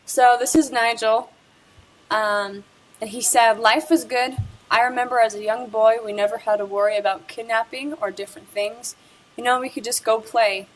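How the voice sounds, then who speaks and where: A woman's voice: on the high side, fairly even intonation, normal speed, poor audio. A young woman presenting a school project interview, reading a prepared script about the person she talked with. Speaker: student presenter.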